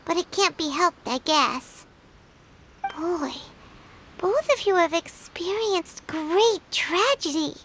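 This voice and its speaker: A woman's voice: very high voice, slow pace, fairly bright tone, a little hiss or hum, full character voice. A woman voicing a small, chatty, childlike female companion creature in a video game, with a chirpy, casual manner.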